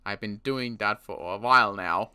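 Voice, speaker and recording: A man playing a wary adult survivor in an improvised game roleplay, talking in a wry, halting way with frequent restarts. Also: lowish voice, sharp tone, smooth. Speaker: roleplay player.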